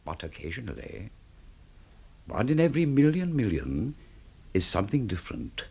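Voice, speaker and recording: Sneering, swooping older villain. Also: male voice, lowish voice, wildly swooping pitch, normal speed, gravelly, husky, heavily acted. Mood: grandly oratorical. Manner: theatrical, pompous, grandly scornful. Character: arrogant, sneering older aesthete, elitist villain. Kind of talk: suspense radio drama acting.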